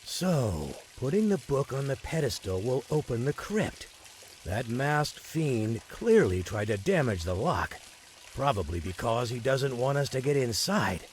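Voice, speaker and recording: A self-assured detective from a mystery game, with a medium-pitched voice that moves expressively up and down. He sounds reassuring and theatrical, with faint noise behind him. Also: sing-song, measured pace. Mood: pondering, purposeful. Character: confident, reassuring male detective. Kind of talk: theatrical game cutscene acting.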